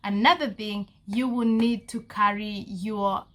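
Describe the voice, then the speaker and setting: A young woman from Kenya talks straight to her viewers in a casual vlog, earnest and a little repetitive. Her voice sits at an ordinary middle pitch for a woman. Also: steady tone, a little slow, a bit bright. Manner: earnest, repetitive, addresses viewers as guys, frequent okay. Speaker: vlogger giving visa advice.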